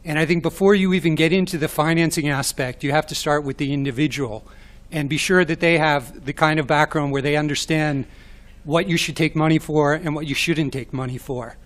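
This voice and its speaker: A man's voice: a little high, fairly quick, a bit bright. Man with a New York accent. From New York City. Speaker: panelist, media organization director. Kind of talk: panel talk.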